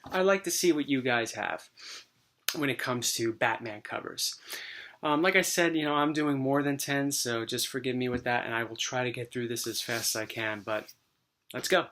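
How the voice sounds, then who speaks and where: A man with a voice worn dry and hoarse by a recent cold. He talks in a loose, easygoing way, full of ums and restarts. The tone is casual show-and-tell. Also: mid-range voice, normal speed. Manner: relaxed, rambling, many fillers. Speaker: comic book collector vlogger.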